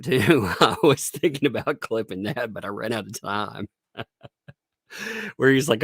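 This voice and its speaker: Deep, husky-voiced man ranting sarcastically. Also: no room sound, clean studio sound. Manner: profane, sarcastic, laughs often, thanks chat. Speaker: commentary streamer. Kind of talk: casual livestream commentary. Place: home.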